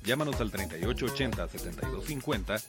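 Male ad announcer, lowish voice, polished promo read, loud music bed. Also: animated, fast. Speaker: commercial announcer. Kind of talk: scripted ad read.

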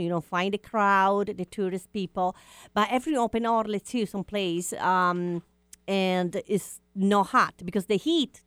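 Italian lady rambling news in broken english, lively, dry mic. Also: mid-range voice, clean tone, no room sound. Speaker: co-host reading news. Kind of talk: casual radio chat.

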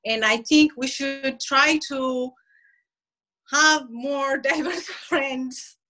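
High, bright woman, non-native English speaker. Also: extremely slow, poor audio. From immigrant from outside the United States. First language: not English. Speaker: guest. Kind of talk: casual chat.

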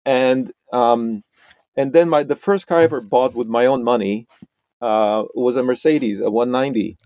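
A middle-aged man from Romania, a podcast guest, talking in a halting way with many restarts and repeated words. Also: medium pitch, a little mellow, dry recording. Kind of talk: conversational interview.

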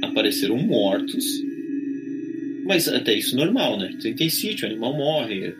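A man's voice: medium pitch, big pitch swings, a little slow, poor audio. A man with a Brazilian Portuguese accent, casually telling anecdotes as a storyteller in a conversation. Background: background music.

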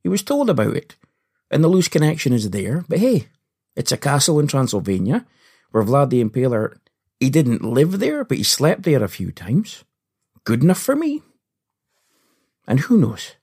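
A man telling an adventure story to children in a playful, animated style with comic understatement. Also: medium pitch, moderate pace, pauses often, slightly rough. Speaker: podcast host and storyteller.

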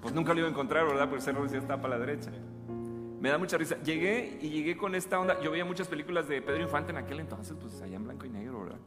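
A man in his forties, a guest from Chiapas, Mexico, telling his life story in a relaxed, joking way with many restarts and laughs. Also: on the high side, racing. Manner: chatty, jokes and laughs, restarts often. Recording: roomy reverb with loud music behind.

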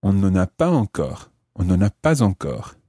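Soft-toned, low-voiced male language teacher. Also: very animated, normal speed, breathy edge, no room sound, clean studio sound. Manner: didactic, carefully enunciates and translates. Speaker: language teacher, podcast host. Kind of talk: explanatory French grammar lesson, phrase by phrase.

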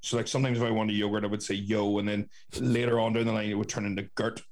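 A Northern Irish man with a fairly low voice hosts a casual podcast. He tells anecdotes in an animated, conversational way and swears freely. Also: fast. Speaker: podcast host. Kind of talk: casual chat, telling childhood anecdotes.

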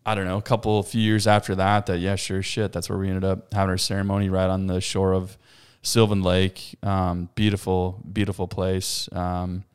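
A man in his thirties, a podcast guest, chatting casually and loudly, rambling with plenty of fillers and frequent laughs. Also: low voice, completely flat delivery, normal speed, few pauses, clear, resonant tone. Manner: loud, laughs often, rambling with many fillers. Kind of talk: casual chat.

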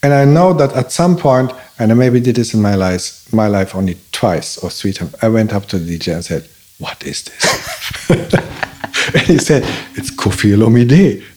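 A man who grew up in Belgium, a native German speaker, talking casually and telling stories in a halting way, with many restarts. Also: on the low side, husky. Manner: halting, restarts often, animated storytelling. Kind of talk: casual conversation.

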